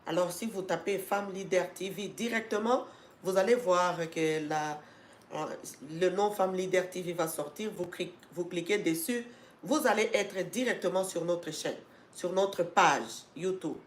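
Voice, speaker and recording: A woman's voice: on the low side, unhurried, a little hiss or hum. Congested woman hosting a live stream. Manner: talks with many fillers and restarts, grows emphatic and urging. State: congested with a cold. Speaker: host of an educational channel. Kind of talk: live-stream talk to viewers. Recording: echoey room.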